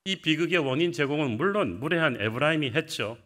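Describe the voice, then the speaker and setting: A middle-aged man preaching, retelling scripture as a vivid story. His voice sits a little high for a man, and his delivery is lively and narrative. He comes from the Gyeongsang region. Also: fairly quick. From Gyeongsang, South Korea. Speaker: pastor. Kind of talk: sermon.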